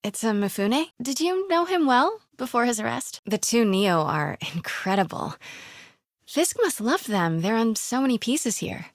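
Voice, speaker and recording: A woman playing a plucky, quick-witted young female investigative reporter in a video game, with a breathy voice. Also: a little high, very animated, dry recording, studio quality. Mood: polite, probing. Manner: hushed muttering to herself.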